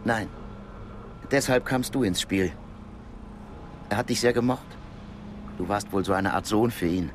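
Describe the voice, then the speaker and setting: Casting: male actor as calm, knowing secret-service contact, lowish voice, measured radio drama delivery over ambient noise. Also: natural voice. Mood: guarded, matter-of-fact. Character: discreet male Cold War intelligence contact, calm and knowing. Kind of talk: naturalistic German radio drama acting.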